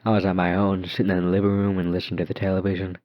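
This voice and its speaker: A man with a very low voice tells a horror story in the first person, delivered as dramatic narration. The recording sounds dull, with the top end missing. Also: monotone, very fast.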